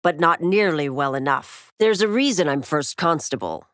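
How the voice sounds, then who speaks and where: A woman's voice: low-pitched, expressive, normal speed, a bit bright, no echo, studio quality. A woman playing a stern, proud veteran constable in a video game, speaking in formal, clipped, authoritative declarations. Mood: cold, challenging. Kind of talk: video game fighter voice lines, dramatic acting.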